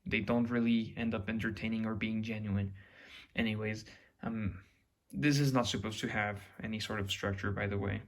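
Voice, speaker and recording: A man's voice: fairly low pitch, level tone, normal speed. A young man with a Mexican accent talking to camera in an unscripted, reflective ramble, often restarting and hunting for words. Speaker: YouTuber.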